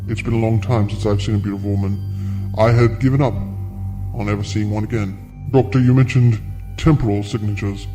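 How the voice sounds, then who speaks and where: A man's voice: on the low side, fairly even intonation, normal speed, over music, natural voice. A man playing an ancient alien prisoner in an audio drama, speaking formally with courtesy that covers menace. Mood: courteous.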